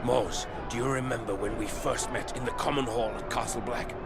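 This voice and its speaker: An actor playing a wry, grumbling warrior and loyal comrade in a fantasy video game, with expressive delivery. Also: male voice, mid-range voice, normal speed, loud music behind the voice, natural voice. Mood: reminiscing.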